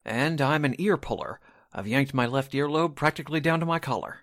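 A man playing a brisk, genial radio station host in a 1940s-style radio drama. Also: mid-range voice, fairly bright tone, no echo, studio quality, natural voice. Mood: genial, businesslike. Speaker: actor in an amateur radio drama re-creation.

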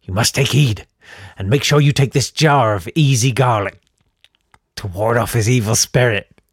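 A man's voice: medium pitch, normal speed, breathy edge, dry recording, studio quality. A man voicing a scared, superstitious village barkeep in a comic horror story, giving earnest, ominous warnings.

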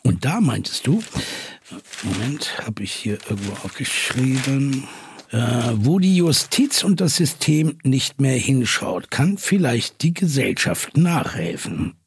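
An actor playing a probing podcast host in an audio drama, speaking in measured, deliberate phrases with a husky, creaky voice. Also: male voice, mid-range voice, sing-song, no echo, full character voice. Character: probing, self-aware male podcast host.

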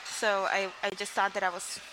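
Young woman from Mexico, interview guest, open and rambling, steady mid-range pitch, noisy recording. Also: normal speed. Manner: candid, rambling, frequent "like" and "um", laughs between sentences. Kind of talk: casual interview storytelling.

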